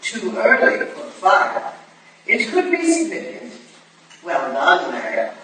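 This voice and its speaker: A shrewd, commanding Victorian lady detective acted by a woman speaks in formal, clipped, imperious phrases. It is a staged reading, caught from far off in a very echoey hall with clear background noise. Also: mid-range voice, wildly swooping pitch, a little slow, pushed and bright, full character voice. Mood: brisk, guarded. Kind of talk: staged reading of a period drama. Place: live staged reading in a roomy indoor venue.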